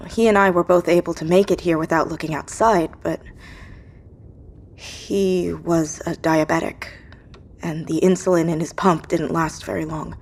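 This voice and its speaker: A woman's voice: low-pitched, fairly even intonation, normal speed, darkish tone. A woman playing an isolated, anxious survivor in an audio drama, with a hesitant, earnest, questioning delivery. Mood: somber, halting.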